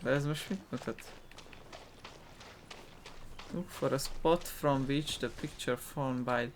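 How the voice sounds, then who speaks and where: A man's voice: medium pitch, slow pace, background noise. A man giving casual gameplay commentary as a gaming video host.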